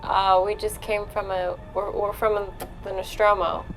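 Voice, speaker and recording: A woman of middling pitch plays a tabletop role-playing game, speaking casually in and out of character. There is faint music under her voice. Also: little pitch movement, measured pace, poor audio.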